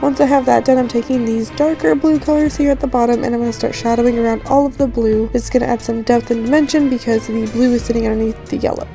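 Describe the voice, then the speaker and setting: A woman with a raspy, slightly hoarse just-woken voice, giving a casual, rambling voice-over tutorial as host. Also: on the high side, steady tone, fast, few pauses, warm tone. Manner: rambling, informal. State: just woke up, morning hoarseness. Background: background music.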